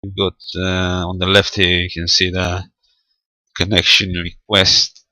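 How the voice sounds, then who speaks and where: A low-voiced man walks through a technical demonstration step by step in a very crisp, bright tone. He is unscripted and a little hesitant, thinking aloud as he works. The recording is close and dry. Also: completely flat delivery, a little slow, no echo. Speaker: amateur radio tutorial presenter.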